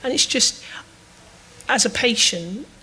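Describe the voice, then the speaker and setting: A woman, asking a panel a halting, hesitant question from the audience. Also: on the high side, animated, pushed and bright, a little hiss or hum, poor audio. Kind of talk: spontaneous question at a panel discussion.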